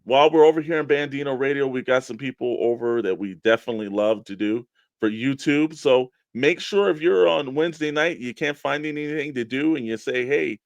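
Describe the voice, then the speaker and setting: A man's voice: mid-range voice, dry recording. A man co-hosting a toy collectors' show, bantering casually and plugging programs with emphatic hype. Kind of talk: casual radio-style show banter and plugs.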